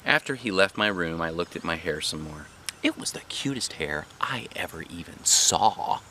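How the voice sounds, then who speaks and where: A man reads a children's story aloud in a low, bright voice. His narration is animated and swings widely in pitch, as a dad telling a tale would, with some background noise. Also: moderate pace. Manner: animated, puts on character voices. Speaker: dad reading a book aloud.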